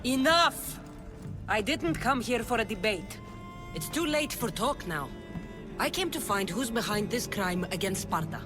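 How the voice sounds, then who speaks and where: A woman's voice: fairly high pitch, lively intonation, unhurried, over music. An actress playing a tough, blunt female mercenary in a video game, commanding and sardonic. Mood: stern, commanding. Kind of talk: video game dramatic acting.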